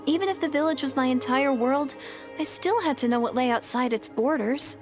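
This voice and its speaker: A woman's voice: high-pitched, fairly quick, close to an everyday voice. An actress playing an earnest, dreamy young village woman adventurer in a video game, speaking sincerely. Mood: wistful. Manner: sincere, wistful storytelling. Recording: music playing behind.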